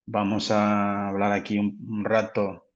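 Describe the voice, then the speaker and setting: A man's voice: on the low side, completely flat delivery, unhurried. A Portuguese man, a native Portuguese speaker with a Portuguese accent, presenting a technical webinar carefully, with frequent restarts. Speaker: product manager presenting. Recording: dull lo-fi audio.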